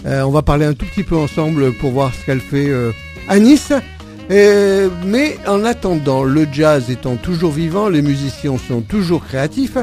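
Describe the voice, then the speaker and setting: Man, radio jazz host, gravelly voice, slightly high, halting delivery, faint music behind. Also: animated, a little mellow. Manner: hesitant, many fillers. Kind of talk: casual radio presenting. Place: radio studio.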